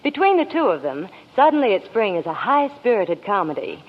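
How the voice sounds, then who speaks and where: A woman's voice: mid-range voice, sing-song, a little fast. An American woman working as a radio reporter, delivering scripted 1940s promotional chat. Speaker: Hollywood reporter on a radio show. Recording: muffled, reverberant 1940s radio recording.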